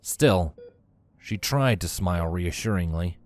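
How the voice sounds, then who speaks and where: A man's voice: deep voice, animated, slow. A man reading a scripted story as its narrator. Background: music.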